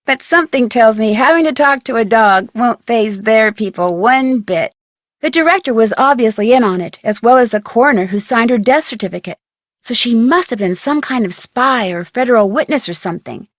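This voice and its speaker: A woman's voice: a little high, animated, normal speed, dry recording, studio quality, close to an everyday voice. A woman voicing a curious, plucky young female detective in a video game, musing aloud expressively. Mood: narrating, wry.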